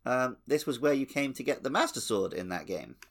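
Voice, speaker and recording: A man's voice: mid-range voice, lively intonation, a little fast. A man co-hosting a podcast, chatting casually about a video game playthrough. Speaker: podcast co-host.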